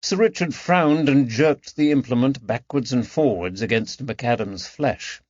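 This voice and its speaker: A man's voice: mid-range voice, unhurried, darkish tone, no echo. Dry, slightly dull male audiobook narrator. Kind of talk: scripted narration of a mystery novel.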